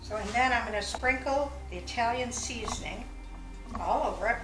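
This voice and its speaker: A woman's voice: fairly high pitch, normal speed. An older woman demonstrating a recipe in her home kitchen, chatting casually and correcting herself as she works. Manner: chatty, self-correcting, talks while working with her hands. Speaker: home cooking vlogger. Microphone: far camera. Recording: roomy kitchen sound, mic at a distance, music behind.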